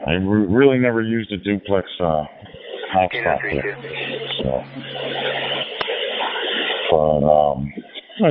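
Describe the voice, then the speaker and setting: Low-voiced man rambling over lo-fi radio. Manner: rambling, repetitive, frequent "you know". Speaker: amateur radio operator. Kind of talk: casual chat over radio. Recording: dull lo-fi digital radio transmission.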